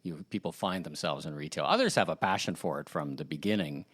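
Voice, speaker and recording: A man's voice: rumbling low, fast, a bit bright, slightly rough. A man hosting a podcast interview, upbeat and conversational, asking long, rambling questions. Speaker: podcast host. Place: office at company headquarters.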